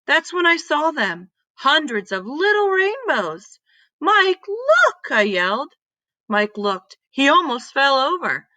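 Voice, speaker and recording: A woman narrates a children's story with deliberate expression. Her voice is bright and clearly projected, at a middle pitch. She takes her time over the sentences, and the sound is close and dry. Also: no room sound. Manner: deliberate, animated reading with emphatic exclamations. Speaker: read-aloud storyteller.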